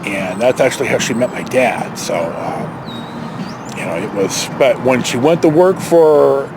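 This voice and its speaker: A middle-aged American man telling a personal story casually, in a rambling, reflective, halting way. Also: medium pitch, animated, very noisy. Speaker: storyteller vlogger.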